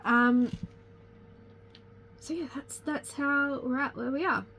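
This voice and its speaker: A woman's voice: sits high, slow, a bit bright, a little hiss or hum. Chatty, slightly sniffly woman. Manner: rambling, chatty, self-correcting. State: sniffly with a cold. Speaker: crafting channel host. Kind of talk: casual chat to camera.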